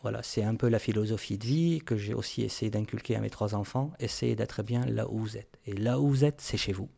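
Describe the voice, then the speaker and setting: A man in his forties from Lebanon, a podcast guest speaking calmly and thoughtfully in a large room of his home, pausing on fillers. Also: on the low side, vocal fry, breathy edge. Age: 40s. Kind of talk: reflective conversation.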